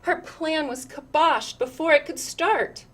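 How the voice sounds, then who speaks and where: A woman's voice: high-pitched, a little slow. A woman reading a children's picture book aloud, expressively, with chatty asides. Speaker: storytime reader.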